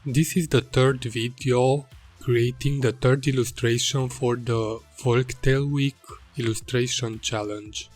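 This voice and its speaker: Hungarian guy narrating his illustration vid, slow careful english, faint music behind. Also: mid-range voice. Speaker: illustrator and channel host. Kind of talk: calm narrated art voice-over.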